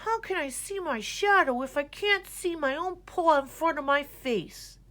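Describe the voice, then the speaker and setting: Woman as a whiny, slow young boy groundhog. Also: sits high, expressive, bright, forceful tone, voice pushed up, exaggerated voice. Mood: worried, whiny.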